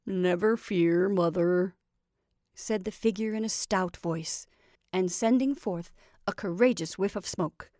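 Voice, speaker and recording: A woman voicing a brave scarecrow turned gentleman, speaking in a stout voice. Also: lowish voice, measured pace, no room sound, clean studio sound, lightly acted. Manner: stout and courageous.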